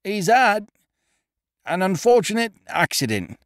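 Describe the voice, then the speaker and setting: A man putting on a loud, high, flustered stage announcer. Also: big pitch swings, no echo, clean studio sound. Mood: flustered announcing. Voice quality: loud, high and drawn-out, dropping his H's. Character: nervous stout male theatre announcer, pompous.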